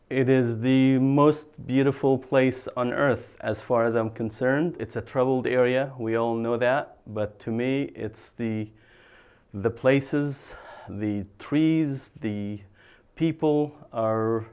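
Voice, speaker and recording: Man, smooth voice. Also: mid-range voice, slow, darkish tone. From West Bank. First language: Arabic. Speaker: interviewee. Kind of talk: interview.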